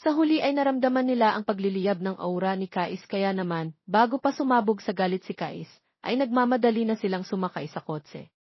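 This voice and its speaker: A woman's voice: mid-range voice, lively intonation, fairly quick. A woman narrating a scripted romance story, voicing the dialogue as the storyteller. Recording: muffled lo-fi, roomy echo.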